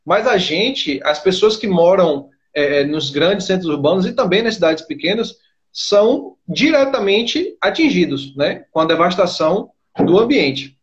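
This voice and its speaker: A man's voice: high-pitched, expressive, measured pace, dull audio. A man hosting a live online interview, framing his questions hesitantly with many fillers and restarts. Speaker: host.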